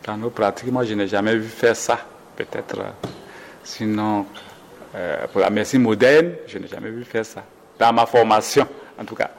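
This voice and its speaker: A man speaking as a doctor in a health interview, explaining slowly with many fillers and restarts while advising listeners. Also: lowish voice, fairly even intonation, big-room sound, a little hiss or hum.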